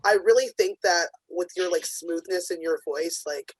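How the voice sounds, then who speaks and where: Comedy judge, loud abrasive husky voice, upbeat chatty feedback. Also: mid-range voice, moderate pace, dry recording, rough recording.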